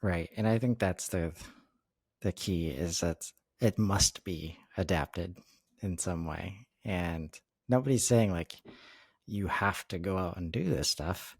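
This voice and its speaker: A man's voice: deep voice, takes it slowly, creaky, breathy edge, dry recording. A man co-hosting a business podcast, explaining things informally and conversationally, often restarting his phrases. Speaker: podcast co-host.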